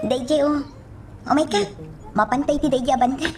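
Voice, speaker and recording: A woman's voice: high-pitched, very animated, unhurried, crowd noise. A woman with an Ilocano accent playing a flustered, exclamatory character in a radio drama. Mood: teasing, chatty.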